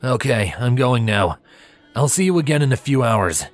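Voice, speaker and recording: A man's voice: mid-range voice, big pitch swings, normal speed, faint music behind, voice pushed up, close to an everyday voice. A man voicing a kindly, reassuring male pony in a fan fiction story reading. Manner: reassuring, casual. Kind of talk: fan fiction audiobook character voice.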